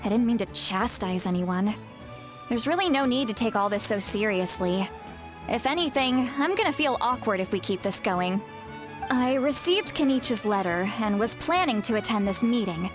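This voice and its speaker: Game role, woman actor: youthful-sounding lady elder, sardonic and haughty, highish pitch, very animated delivery. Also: normal speed, music in the background, natural voice. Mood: composed, defensive. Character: teasing, haughty young-looking woman who is secretly an elder. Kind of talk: video game voice acting.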